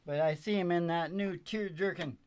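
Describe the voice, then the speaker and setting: An older man talks from a small stage between songs. His delivery is casual, rambling and folksy, with halting joking asides. The stage mic gives a dry sound. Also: sits high, smooth, no room sound. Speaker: host and musician of a live radio show. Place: small indoor stage with curtain backdrop. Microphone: stage microphone on a stand.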